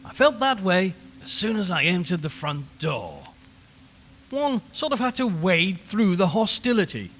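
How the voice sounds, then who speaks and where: A man's voice: high voice, sing-song, measured pace, a bit bright, heavily acted. A man playing an uneasy, chatty radio reporter who talks wryly to listeners from a haunted house in a horror audio drama. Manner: conversational, wry, addressing listeners. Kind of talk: live radio broadcast horror drama acting. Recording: long reverb, background hiss and ambience.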